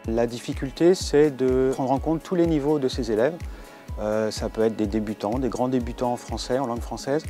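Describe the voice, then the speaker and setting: Mellow man explaining, faint music. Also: mid-range voice, normal speed. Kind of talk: interview explanation.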